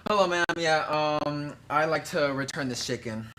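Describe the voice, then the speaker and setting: A woman's voice: sits low, normal speed, fairly bright tone. A woman playing a sheepish shopper in a live improv sketch, hesitant and full of fillers. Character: sheepish female shopper returning food.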